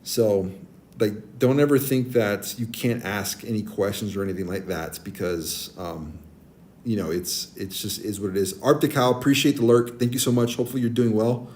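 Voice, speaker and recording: Deep-voiced man in his forties. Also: fairly even intonation, normal speed, a little mellow, slight background noise. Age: 40s. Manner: friendly, advice-giving, many ums and restarts. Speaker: streamer. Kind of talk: casual chat with stream viewers. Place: abroad, streaming on a tablet. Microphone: tablet mic.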